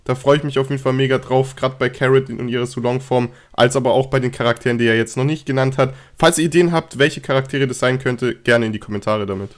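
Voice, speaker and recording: A young man with a cold and a slightly hoarse voice, chatting casually about game news straight to his viewers. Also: mid-range voice, quick pace, hardly any pauses. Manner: chatty, filler-heavy, addresses viewers directly. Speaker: gaming news youtuber.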